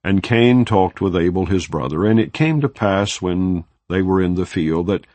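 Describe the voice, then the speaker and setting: A man giving a measured, solemn reading of scripture in an unhurried narrating style. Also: deep voice, lively intonation, a little mellow, no echo. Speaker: Bible reader.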